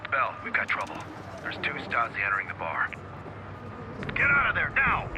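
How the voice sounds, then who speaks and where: A man's voice: fairly low pitch, lively intonation, brisk, music in the background, lightly acted. A man playing an alert, urgent lookout agent in a game scene, giving terse warnings. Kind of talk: video game cinematic acting. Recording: loud noise and ambience behind.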